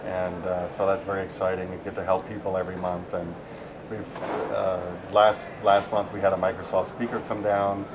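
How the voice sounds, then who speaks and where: A man's voice: deep voice, level tone, moderate pace, background noise. A middle-aged American man from San Diego, chatting casually at a conference as an interviewee, rambling with many fillers and restarts. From San Diego, USA. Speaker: interviewee, software engineer. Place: busy conference venue.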